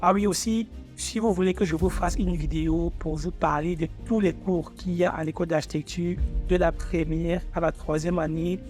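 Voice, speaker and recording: A young man in his twenties with a fairly high-pitched voice speaks informally to his viewers, as a student vlogger would. He is from Africa and a native speaker of English. Faint music plays behind him. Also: steady tone, normal speed, hardly any pauses, darkish tone. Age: 20s. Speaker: architecture student presenting his own channel. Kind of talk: personal vlog, talking to viewers.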